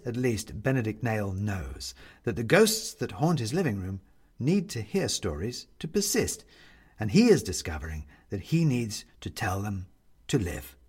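A man narrating a story in an expressive, reflective way with measured phrasing. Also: mid-range voice, breathy edge. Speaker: audiobook narrator.

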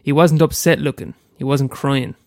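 A man's voice: mid-range voice, fairly even intonation, normal speed, dry recording, clean studio sound. A young Irish man with a head cold, reading aloud a short story he wrote, after a hesitant casual introduction. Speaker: amateur storyteller.

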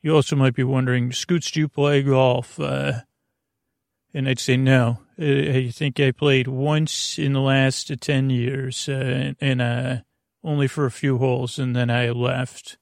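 A man with a notably creaky, fry-laden voice at an average male pitch hosts a sleep podcast. He meanders and stops mid-thought to start again, drifting off on digressions. The sound is dry, as from a small closet at home. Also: little pitch movement, no room sound. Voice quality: creaky, gravelly. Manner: halting, digressive, constantly restarting mid-thought. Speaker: host of a bedtime sleep podcast. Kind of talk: rambling, meandering bedtime monologue.

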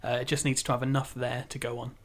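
A man co-hosting a casual podcast, chatty and quick to laugh. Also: mid-range voice, little pitch movement. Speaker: podcast co-host. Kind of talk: casual chat.

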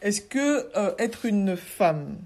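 A woman with a mellow, warm voice hosts a casual interview. She asks her questions at an even pitch and keeps backing up her guests with short words of praise. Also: drawn-out words. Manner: encouraging, with frequent affirmations. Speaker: interviewer, podcast host.

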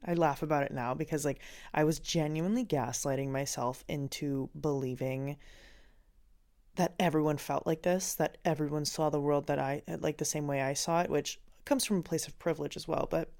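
A woman's voice: deep voice, darkish tone. A woman from Finland hosting a podcast, talking through a candid, reflective personal monologue with lots of "like" and "um". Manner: candid and reflective, with frequent "like" and "um" fillers. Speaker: podcast host.

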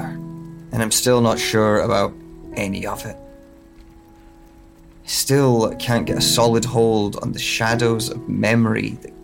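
A man's voice: mid-range voice, creaky. An actor playing a troubled man with lost memory, musing alone in a hesitant, reflective audio drama monologue. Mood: pensive, uncertain. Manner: hesitant, reflective, broken phrasing.

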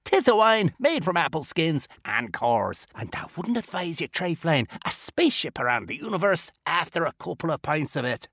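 A man's voice: on the high side, big pitch swings, normal speed, gravelly, hardly any pauses, fairly bright tone, husky, no room sound, studio quality, voice pushed up, full character voice. A man voicing a folksy older home brewer with old-fashioned turns of speech. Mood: hearty.

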